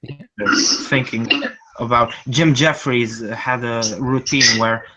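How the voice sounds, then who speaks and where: A man's voice: mid-range voice, steady tone, slow, highs cut off, crowd noise. An Australian man with an Australian accent, chatting casually as a panelist on an online group call. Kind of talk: casual chat.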